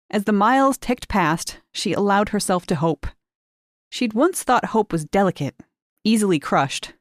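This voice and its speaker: A woman reading a short story aloud in measured, expressive narration, lifting key words for emphasis. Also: on the low side, no echo, clean studio sound. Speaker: reader of short fiction.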